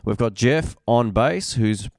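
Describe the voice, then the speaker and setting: A man's voice: mid-range voice, slow pace, darkish tone, no echo, clean studio sound. A man hosting his own podcast while getting over a cold. His nose sounds blocked, and he talks in a rambling, chatty way with vowels. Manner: rambling, chatty, with fillers and restarts. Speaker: podcast host. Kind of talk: casual solo podcast talk.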